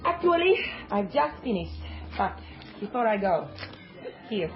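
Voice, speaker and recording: A woman's voice: mid-range voice, sing-song, measured pace. Cool, proud woman, music behind. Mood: curt, defiant. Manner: clipped, cool. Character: cool, proud woman making a defiant exit. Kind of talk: radio drama acting. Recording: dull lo-fi radio sound under music.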